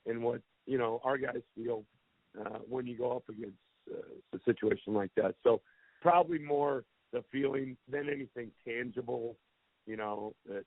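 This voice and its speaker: A man coaching a football team talks candidly and plainly, with some self-deprecating honesty. His voice sits at a middle pitch and comes through a thin, tinny telephone line with no room sound at all. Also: no echo. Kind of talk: answering questions on a press conference call. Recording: tinny phone line, dry.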